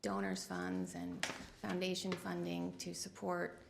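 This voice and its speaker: Soft-spoken woman, hesitant, lots of ums, very gravelly, lowish voice. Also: big pitch swings, a little slow, noisy background. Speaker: grant applicant. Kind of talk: public meeting testimony. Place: city council chamber. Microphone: meeting microphone.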